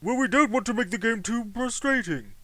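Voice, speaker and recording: A man voicing a smug, boastful Victorian gentleman who speaks theatrically. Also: very high-pitched, expressive, brisk, dry recording, heavily acted. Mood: defensive. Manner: self-satisfied, boastful, theatrical.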